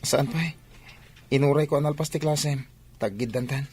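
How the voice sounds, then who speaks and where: A man's voice: a little high, normal speed, music in the background, lightly acted. A man with an Ilocano accent playing an earnest young athlete son in a radio drama. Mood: friendly.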